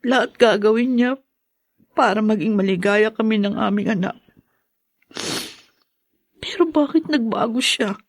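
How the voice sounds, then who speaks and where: Meek, long-suffering young mother, high voice. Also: measured pace, pauses often, no echo, clean studio sound. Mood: despairing. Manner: hesitant, pleading, earnest. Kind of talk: Tagalog radio drama acting.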